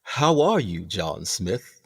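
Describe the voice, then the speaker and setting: Male actor as sinister captain, husky, swooping intonation, pompous, condescending, deliberate, radio play. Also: on the low side, big pitch swings, fairly bright tone, exaggerated voice. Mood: coolly authoritative. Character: smug, theatrical ship captain running a sinister prison colony.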